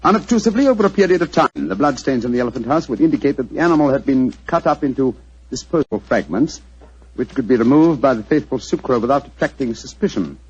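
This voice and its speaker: A self-assured British gentleman detective in an old radio drama. His delivery swings widely in pitch as he lays out his reasoning with theatrical precision, the voice a little husky. The 1940s recording is muffled and dull. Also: expressive, quick pace. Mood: confident explaining.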